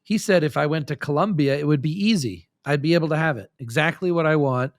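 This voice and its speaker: A man's voice: fairly high pitch, fast talker, no room sound, studio quality. A man talking casually and frankly as a podcast guest, in a loose, rambling way. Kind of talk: casual candid chat.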